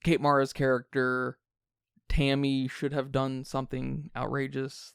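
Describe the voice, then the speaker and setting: A man's voice: medium pitch, vocal fry, clean tone, no echo, clean studio sound. A man co-hosting a podcast, talking casually and analytically about film in wordy phrasing. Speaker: podcast co-host.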